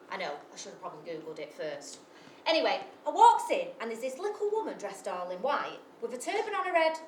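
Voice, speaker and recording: A woman tells a comic story live, brash and sweary and quick to mock herself. Her pitch sits fairly high and moves a great deal. She is heard from far off in an echoey venue with faint room ambience. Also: fairly bright tone, a little hiss or hum. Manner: brash, sweary, self-mocking, full of asides.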